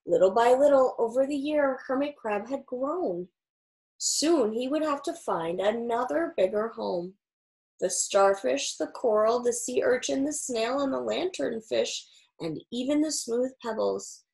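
Creaky-voiced woman reading a storybook. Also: on the high side, unhurried, a little mellow, low-quality recording. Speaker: teacher reading aloud. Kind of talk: picture-book read-aloud. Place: small furnished room indoors.

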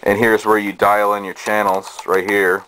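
Low-pitched man, halting and casual. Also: low-quality recording. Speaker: hobbyist presenter. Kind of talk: casual show-and-tell of collected gadgets. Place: small cluttered bedroom indoors. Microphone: handheld camera's built-in microphone. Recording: steady noise, amateur camera sound.

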